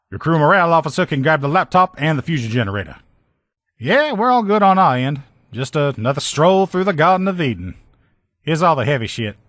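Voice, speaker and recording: A man's voice: mid-range voice, fairly bright tone, no echo, studio quality, close to an everyday voice. A man voicing a slangy, good-humored veteran lieutenant and mechanic in a video game. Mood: casual, businesslike. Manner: folksy, slangy, talkative. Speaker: video game character voice actor. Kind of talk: video game dialogue lines.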